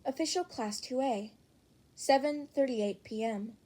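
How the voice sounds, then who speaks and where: A woman's voice: high-pitched, a little slow, mellow. A woman reading fan fiction aloud as an amateur audiobook narrator.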